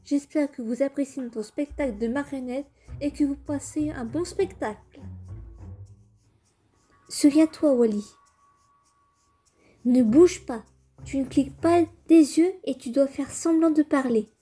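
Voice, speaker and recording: High, mellow young boy played by a woman, earnest puppet-show presenter, storytelling delivery, music underneath. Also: a little slow, pauses often. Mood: eager, instructing. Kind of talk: French fan comic dub, storytelling.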